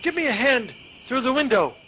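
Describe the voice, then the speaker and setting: An actor playing a sinister, commanding criminal brother in a radio play, with a threatening manner. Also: male voice, very high-pitched, sing-song, normal speed, background noise. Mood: urgent, bossy.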